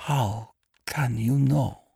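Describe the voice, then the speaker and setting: A man's voice: mid-range voice, animated, moderate pace, mellow, no echo, clean studio sound, pitched above the performer's own voice, natural voice. A man voicing a dramatic, anxious, pleading noblewoman in an audiobook. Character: beautiful, cold yet frightened foreign noblewoman. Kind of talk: audiobook character acting.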